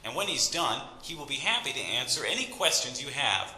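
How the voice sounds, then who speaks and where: An actor playing an awkward, proud young graduate student giving a speech in a radio drama, hesitant and wry. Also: male voice, medium pitch, sing-song, fairly quick, bright, forceful tone. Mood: nervous, proud. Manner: hesitant, wry public speaking. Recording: reverberant hall PA, faint noise.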